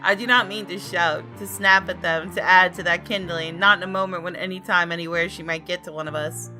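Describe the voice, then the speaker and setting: A woman with a bright, forceful voice pitched a little low hosts a game playthrough. She reads and comments expressively and dramatically, with soft game music in the background. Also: fairly even intonation, brisk. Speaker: gaming video host. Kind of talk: playthrough commentary and reading game narration aloud.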